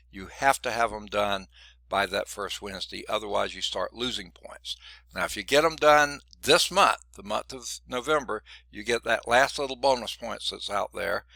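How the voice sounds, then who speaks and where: A man's voice: mid-range voice, gravelly, pushed and bright, no echo. A middle-aged man teaching a college math class, chatty and digressive, sounding congested and often checking in with "okay?". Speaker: math instructor. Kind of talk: classroom lecture.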